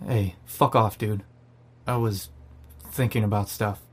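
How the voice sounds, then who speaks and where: A man's voice: fairly low pitch, fairly even intonation, measured pace, slightly rough. A man playing a sarcastic, defiant, foul-mouthed zombie apocalypse survivor in an audio drama. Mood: irritated. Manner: sardonic, profane. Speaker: narrator and lead character. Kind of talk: first-person audio drama narration and dialogue.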